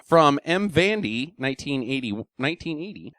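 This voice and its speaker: Lively, animated male podcast host. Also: a little high, moderate pace, no room sound. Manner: animated, swears freely, reads reviews aloud. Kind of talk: casual chat.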